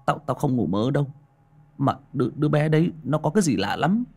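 Male narrator playing a frightened young villager, stammering, hushed, husky. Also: a little high, lively intonation, fairly quick, voice pushed up. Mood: terrified.

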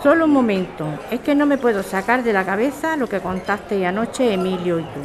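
A woman's voice: medium pitch, lively intonation, a little fast, natural voice. A woman with a Spanish accent from Spain playing a caring, questioning grown daughter in a radio drama. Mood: worried. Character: caring, doubtful grown daughter who runs a bar. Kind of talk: radio serial acting. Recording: crowd chatter behind the voice.

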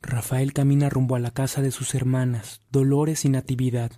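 A male narrator of a radio drama. His middle-pitched voice is very dark and soft-edged, keeping an even, scripted line with little rise or fall. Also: normal speed, dry recording, soft background music.

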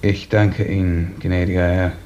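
A man's voice: sits low, a little slow. An actor playing a broken, obedient male serf in a 1950s German radio drama, answering in a subservient way on a muffled, noisy old recording. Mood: subdued, obedient. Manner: flat, subservient replies.

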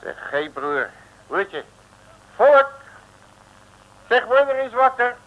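An actor playing a brash, cheeky detective with colloquial, slangy bluster in a 1960s radio comedy. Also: male voice, very high voice, very animated, takes it slowly, phone-quality sound, exaggerated voice. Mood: brash, impatient. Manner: colloquial slangy bluster, calls himself uncle. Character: brash, cheeky, big-spending male detective, streetwise and jovial. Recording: noisy old 1960s radio recording.

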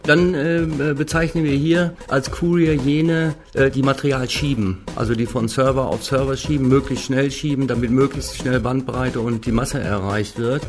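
A man gives an explanation in interview style. His medium-pitched voice halts and repeats itself, over faint music. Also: fairly even intonation, moderate pace, hardly any pauses.

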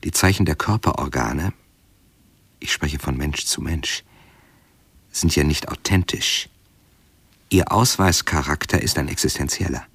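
An actor playing a pompous, dismissive medical professor in a radio drama, clipped and sententious. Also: male voice, extremely low pitch, measured pace, pauses often, a bit bright, breathy edge, dry recording, heavily acted. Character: pompous, dismissive male professor of medicine lecturing his patient. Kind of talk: 1970s German radio drama acting.

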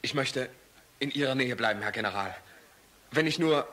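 An earnest young officer in a radio drama, deferential and eager to serve. His voice is mid-pitched, bright and tense. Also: normal speed. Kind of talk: dramatic radio play acting.

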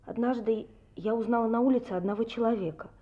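A woman's voice: fairly high pitch, fast, warm tone, muffled recording, close to an everyday voice. An actress playing a young working woman, an uneasy but candid witness in an audio drama.

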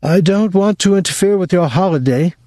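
A man's voice: high voice, a little fast, warm tone, no room sound, clean studio sound, voice pushed up, natural voice. A man reading aloud voices an elderly mother who complains shrilly and melodramatically over the phone. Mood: complaining. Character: fretful complaining elderly mother, older woman.

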